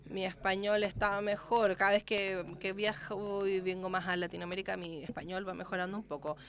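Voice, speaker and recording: A woman's voice: medium pitch, flat delivery, a little fast, a bit bright. A woman giving live interpretation of a medical lecture, speaking steadily and often correcting herself mid-word.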